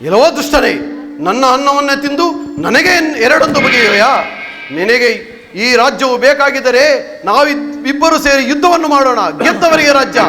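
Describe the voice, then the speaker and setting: A man's voice: very high voice, fairly quick. An actor playing a proud, stern, commanding king in a declamatory mythological stage drama. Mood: commanding anger. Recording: reverberant hall with music behind.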